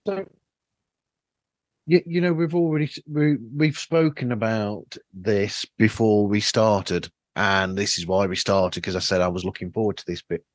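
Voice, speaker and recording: A man's voice: on the low side, little pitch movement, a little slow, no echo. A man hosting a casual interview, asking rambling, roundabout questions through a flawed microphone. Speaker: podcast host, interviewer. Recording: flawed microphone sound, dry.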